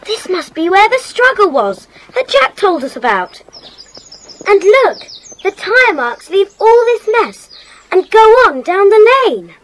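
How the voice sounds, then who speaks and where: A woman playing an observant, decisive schoolgirl detective with a brisk, earnest manner in a children's audio drama. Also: very high voice, very animated, slight background noise, full character voice, poor audio.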